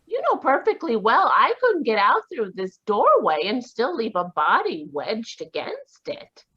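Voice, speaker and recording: A woman playing an indignant suspect with a husky voice. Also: fairly high pitch, huge pitch swings, bright, forceful tone, dull audio, heavily acted. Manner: indignant, quick retorts. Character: defiant young woman murder suspect. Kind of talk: 1940s radio acting.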